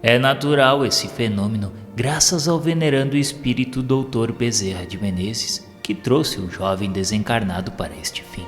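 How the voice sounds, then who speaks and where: A Brazilian man voicing a kindly, humble spiritual medium who teaches in a calm, plain-spoken way in an audio story. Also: mid-range voice, normal speed, few pauses, natural voice. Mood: calm teaching. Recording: long reverb, music behind.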